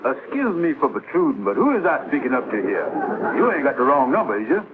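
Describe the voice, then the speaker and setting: An actor playing a gullible, pompous middle-aged loafer who mangles big words in a vintage television sitcom. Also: male voice, medium pitch, huge pitch swings, quick pace. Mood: flustered. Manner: malapropisms, pompous. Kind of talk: 1950s television sitcom acting. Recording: noisy, tinny vintage TV soundtrack.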